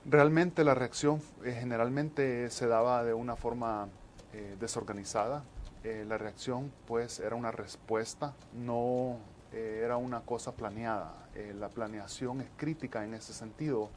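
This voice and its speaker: A man, explaining as an interview guest in measured, halting phrases. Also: medium pitch, fairly even intonation, a little hiss or hum. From El Salvador. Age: 40s. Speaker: interview guest, disaster management specialist.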